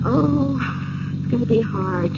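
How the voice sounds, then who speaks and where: An actress playing a hesitant, uneasy but reasonable wife in a radio drama, speaking haltingly. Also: female voice, a little high, lively intonation, heavy background noise. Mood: wistful, uneasy. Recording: dull old radio recording.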